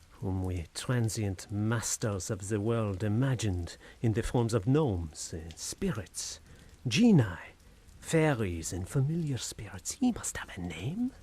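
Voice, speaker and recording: A man's voice: fairly low pitch, a little mellow, breathy edge, heavily acted. An actor playing a tormented, feverish Frenchman slipping into madness in an audio horror drama, with brooding, formal, theatrical diction. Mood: hushed, haunted.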